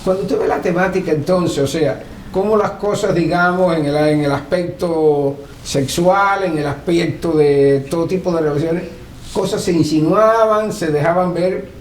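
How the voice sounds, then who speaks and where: Higher-voiced Cuban man interviewing, echoey room. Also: moderate pace. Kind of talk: oral history interview, measured questioning. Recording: echoey room with faint noise.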